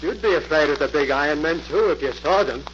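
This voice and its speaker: An actor playing a fearful, harmless, simple country fellow in a 1940s radio drama, talking in a hesitant, folksy way. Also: male voice, fairly high pitch, fairly quick, a bit bright, natural voice. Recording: muffled, very noisy old-time radio recording.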